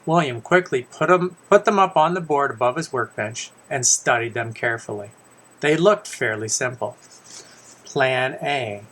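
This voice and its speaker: A man's voice: mid-range voice, normal speed, a bit bright. A man reads his own children's story aloud in the first person, conversationally, with casual asides and small self-corrections. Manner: conversational, stumbles and corrects himself.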